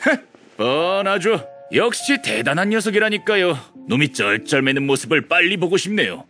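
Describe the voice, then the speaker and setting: An eager young informant from a video game, courteous but slyly scornful. His voice is fairly high and very lively in pitch, with light music underneath. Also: moderate pace. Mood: scornful, gleeful. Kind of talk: video game character acting.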